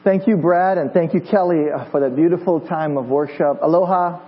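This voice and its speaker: A man from Hawaii in his fifties, preaching to a congregation in a friendly way that jokes and stumbles over restarts. His voice sits a little high. The recording is dull and lo-fi, with a mains hum underneath. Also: brisk. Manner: friendly, joking, with many fillers and restarts. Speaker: pastor. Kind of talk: sermon.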